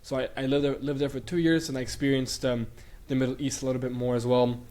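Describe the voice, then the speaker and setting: A man's voice: mid-range voice, flat delivery, quick pace, warm tone. A young man from Bulgaria who grew up in Sweden, talking as a guest in a casual interview, rambling with many fillers and restarts. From Bulgaria, raised in Sweden.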